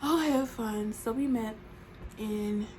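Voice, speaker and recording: Playful woman with a raised pitch. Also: takes it slowly, darkish tone. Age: 30s. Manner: teasing, playful. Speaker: vlogger. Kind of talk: casual couple chat. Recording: noisy room.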